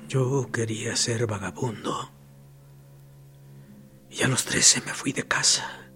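An actor playing a wistful boy who recalls childhood longings in a hushed radio-play monologue. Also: mid-range voice, fairly even intonation, slow. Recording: music bed under the voice.

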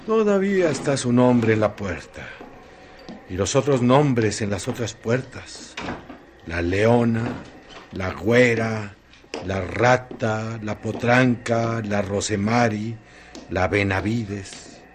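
A man narrating a literary radio drama in solemn, measured phrases. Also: on the low side. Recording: noisy track with ambient effects.

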